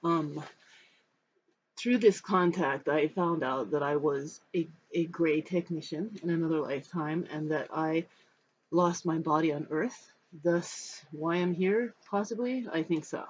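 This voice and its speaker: An American in their fifties from Los Angeles, giving a personal talk to camera in a reflective, halting, rambling manner. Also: medium pitch. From Los Angeles, United States. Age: 50s. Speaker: spiritual storyteller. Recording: dull, muffled sound with background noise.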